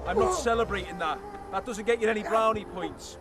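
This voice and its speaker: Man coaching players, very high voice, urgent and questioning, outdoor pitch, loud music over it. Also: very animated, a little fast. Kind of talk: instructing players at training.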